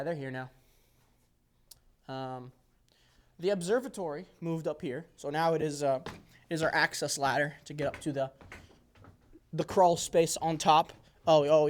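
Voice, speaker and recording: Jokey, rambling young man. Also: on the high side. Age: early twenties. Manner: jokey, self-deprecating, rambling with playful exaggeration. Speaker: host giving a workshop tour. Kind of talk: casual off-the-cuff walking tour chat. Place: indoor workshop.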